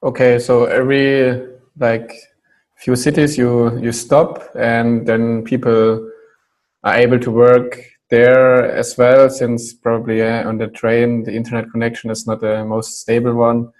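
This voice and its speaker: A German-speaking man hosting an online interview over a video call, switching to English and pausing often with fillers. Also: mid-range voice, little pitch movement, a little mellow, low-quality recording. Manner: hesitant, many fillers. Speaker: interview host.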